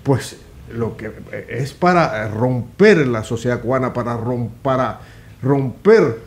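A man in his sixties, a guest in a political commentary chat, speaking indignantly and emphatically with halting restarts in a small office studio. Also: mid-range voice.

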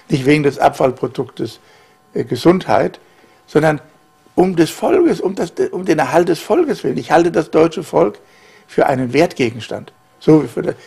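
A German man in his sixties, a journalist, giving a halting, emphatic interview answer with many fillers in a living room. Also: fairly high pitch, creaky, a little mellow, husky.

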